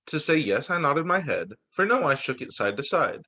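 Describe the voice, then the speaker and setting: Guy teacher doing story time for kids, kinda high voice, really animated reading. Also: moderate pace. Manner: animated, explains and checks in with young listeners. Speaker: teacher reading a picture book to students. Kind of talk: expressive children's read-aloud with asides.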